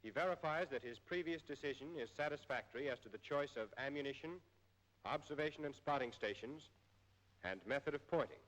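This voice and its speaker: An American man reading scripted instructional narration in a formal, measured way, as in an old military training film. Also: medium pitch, a bit bright, low-quality recording.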